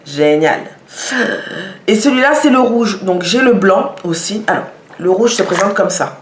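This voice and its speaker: A woman's voice: medium pitch, lively intonation, a little slow, slight background noise. A woman showing products in a casual vlog, talking with many hesitations and fillers. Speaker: vlogger. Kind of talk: casual product show-and-tell. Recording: echoey room.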